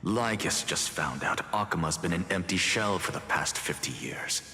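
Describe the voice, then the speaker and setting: An actor voicing an arrogant, theatrical demigod of reason in a game, speaking in measured, articulate, haughty lines. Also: male voice, sits low, sharp tone. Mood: stern, skeptical. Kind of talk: dramatic game dialogue. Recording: reverberant hall with music underneath.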